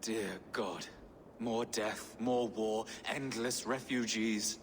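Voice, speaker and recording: A man's voice: on the low side, steady tone, slow. A man playing a pious, pleading, anxious bishop in a video game, earnest and formal. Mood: weary, grave. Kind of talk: dramatic video game acting. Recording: reverberant hall, faint noise.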